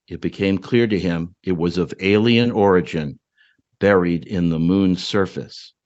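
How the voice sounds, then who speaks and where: A man's voice: lowish voice, highs cut off. A man giving a dramatic reading of his own short story as a podcast co-host.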